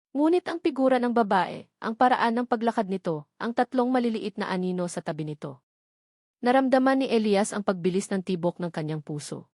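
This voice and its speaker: A woman's voice: mid-range voice, darkish tone, no room sound, clean studio sound. A woman reading a scripted romantic drama story as its narrator.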